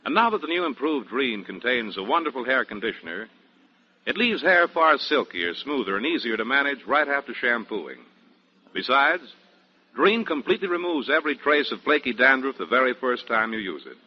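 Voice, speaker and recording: A man's voice: mid-range voice, big pitch swings, normal speed. An American man reading a shampoo-style commercial as a 1940s radio announcer. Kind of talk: 1940s radio advertising read. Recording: dull 1940s radio broadcast.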